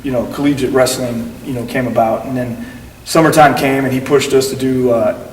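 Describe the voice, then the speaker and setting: A man's voice: medium pitch, fairly even intonation, a little fast, distant mic. Rambling man in an echoey room. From United States. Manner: rambling, full of fillers and acted-out quotes. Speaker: guest wrestler. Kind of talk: casual interview storytelling. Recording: echoey room heard from afar with faint noise.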